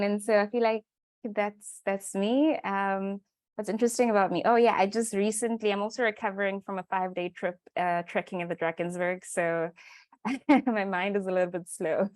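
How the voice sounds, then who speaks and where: A woman speaking as a guest on a webinar over a video call, talking casually with many pauses and fillers. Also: lowish voice, dry recording. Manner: hesitant, many fillers, laughs easily. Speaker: farm project founder, panel guest.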